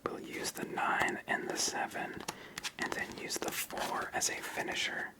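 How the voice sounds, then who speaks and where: A host whispering ASMR commentary while playing a solo card game, explaining each move step by step in an unvoiced whisper. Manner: slow, step-by-step narration of moves.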